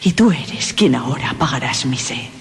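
An actress playing a seductive undead female vampire thirsting for blood in a radio drama, breathy and at times whispering. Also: extremely low pitch, expressive, moderate pace, full character voice. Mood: hungry, possessive. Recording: long hall reverb.

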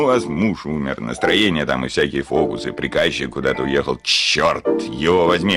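An indignant country landowner in a classic radio comedy. His low voice swings dramatically between grumbling mutters and bellowed exclamations, exasperated and blustering throughout, with music in the recording. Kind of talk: Russian classic comedy radio play acting.

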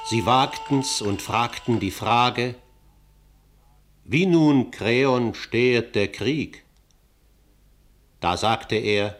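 An actor playing a solemn narrator of an ancient tragedy, declaiming scripted verse. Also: male voice, fairly low pitch, slow pace, few pauses, a bit bright. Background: music.

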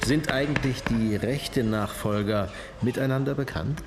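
An actor playing a sober, persistent police detective in a radio drama, questioning people matter-of-factly. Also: male voice, medium pitch, normal speed, background noise, close to an everyday voice.

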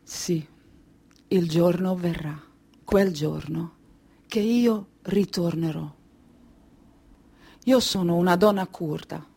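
Slow, grave refugee woman, played by an actress. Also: medium pitch, vocal fry, darkish tone, exaggerated voice. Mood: wistful, solemn. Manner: deliberate, halting phrases with pauses. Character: Kurdish refugee woman, grave, wistful, recounting her flight. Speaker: stage performer of a monologue. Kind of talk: slow theatrical monologue storytelling.

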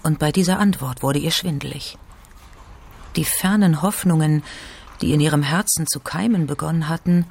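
A man's voice: a little high, moderate pace, gravelly. A man reading scripted narration for a radio drama.

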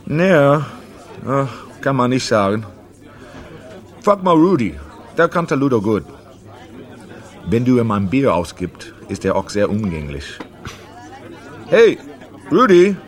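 An actor playing a friendly, easygoing pub bartender in a German radio drama, unhurried and slipping in English phrases. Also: male voice, mid-range voice, very animated. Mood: relaxed, regretful. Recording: bar music and crowd behind.

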